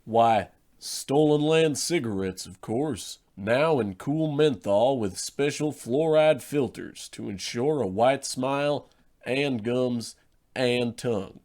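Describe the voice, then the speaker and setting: A man playing a folksy old-time advertising pitchman, selling in a parody of vintage radio sponsor spots. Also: slow, darkish tone. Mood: smooth selling.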